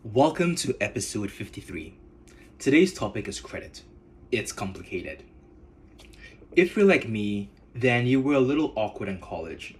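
Low-voiced Nigerian man narrating. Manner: storytelling, measured and earnest. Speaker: podcast host. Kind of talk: scripted narration.

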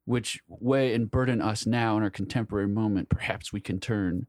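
A man's voice: on the low side, normal speed, a little mellow, no echo, clean studio sound. A man co-hosting a literary podcast with casual banter, wry and given to mock-grandiose phrasing.

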